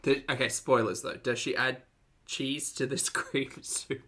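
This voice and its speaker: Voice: medium pitch, little pitch movement, unhurried, background noise. A reaction commentator talking casually and off the cuff, with an incredulous, amused and sweary manner.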